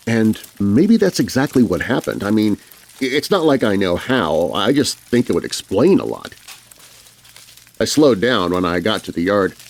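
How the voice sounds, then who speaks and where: A man tells a children's story in the first person over faint music. His delivery is very animated and conversational, as if confiding in the listener, with a mid-range voice. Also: medium pitch. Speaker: audiobook narrator.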